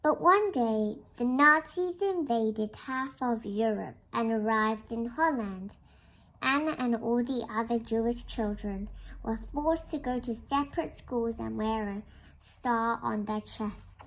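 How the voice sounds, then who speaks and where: High-pitched young girl reading a storybook. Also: takes it slowly, a bit bright, rough recording. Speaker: reader of a children's book. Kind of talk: read-aloud children's storybook narration. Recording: clear background noise.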